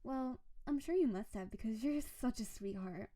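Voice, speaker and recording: Woman acting a gushing, high-pitched yandere snow-spirit wife. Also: moderate pace, warm tone, natural voice. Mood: adoring, obsessive. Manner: gushing, cooing, dramatic emphasis on endearments. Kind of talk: romantic roleplay audio drama, intimate monologue to a listener.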